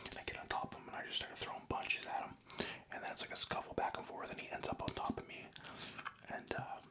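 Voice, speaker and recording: Softly whispering young man. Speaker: vlogger. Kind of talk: whispered ASMR storytelling.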